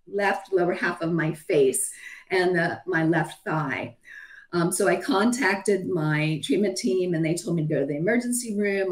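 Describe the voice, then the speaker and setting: A middle-aged woman with a hoarse voice giving a matter-of-fact slide talk about her own illness over a video call, with frequent ums. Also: on the low side. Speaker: physician sharing her own illness story. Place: home room, video call. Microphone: webcam on a video call.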